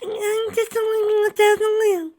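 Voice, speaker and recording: A woman voicing a small, excitable filly pony in a read-aloud story, squeaky and eager. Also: moderate pace. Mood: pleading. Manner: eager, pleading. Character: excitable little girl pony, tiny and squeaky.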